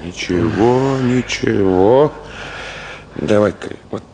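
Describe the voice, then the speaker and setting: An actor playing an anxious, caring soldier who speaks haltingly to soothe a comrade in a Soviet radio drama. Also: male voice, on the low side, creaky. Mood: soothing, worried. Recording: noisy old radio play recording.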